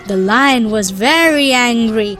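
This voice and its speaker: A woman's voice: on the high side, animated, fairly bright tone, soft background music. A woman playing a patient, instructive mother who tells moral stories to children in a cartoon. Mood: storytelling. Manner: deliberate, instructive. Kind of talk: scripted children's storytelling.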